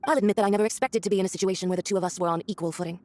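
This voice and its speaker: Casting: woman to play haughty game villainess, mid-pitched, brisk, mocking and grandly theatrical. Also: steady tone, darkish tone, lightly acted. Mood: smug menace. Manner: condescending, theatrical, sneering. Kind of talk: video game voice acting.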